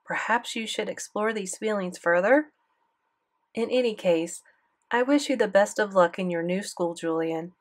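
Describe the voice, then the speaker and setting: A kind male middle-school teacher offers gentle, earnest advice, read by a woman narrator. He speaks evenly and warmly encouraging, like a letter read aloud in a storybook. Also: medium pitch, normal speed, natural voice.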